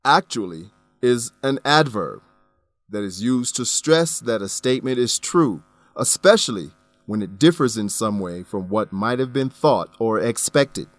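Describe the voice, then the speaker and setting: A man narrates a scripted English lesson at a medium pitch. His delivery is measured and instructional, recorded very dry with light music. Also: lively intonation, no echo. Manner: measured, didactic.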